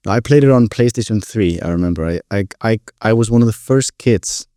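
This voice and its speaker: A young Icelandic man with a very low, mellow voice, recorded close and dry. He talks casually as a podcast guest, enthusiastic and a little rambling, often rewording himself mid-thought. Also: a little fast, clean tone, no room sound. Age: 20s. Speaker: podcast guest, composer and pianist. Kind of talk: casual interview conversation.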